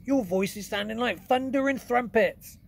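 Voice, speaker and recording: A man voicing a big, gentle male giant who mangles his grammar and invents words, in a storybook reading. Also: animated, moderate pace, gravelly, a little hiss or hum. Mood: pained. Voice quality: booming, mangles words playfully. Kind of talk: audiobook character voice.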